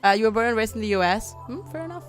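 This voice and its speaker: A woman's voice: on the high side, lively intonation, rapid-fire, soft background music. A young woman from Germany chatting casually with her viewers while playing a game on a livestream, reading out chat and laughing often. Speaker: streamer.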